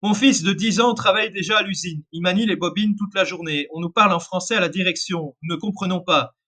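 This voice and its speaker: A man's voice: high-pitched, fairly quick, sharp tone. A man reading the letter of a bitter factory worker and father in his own voice.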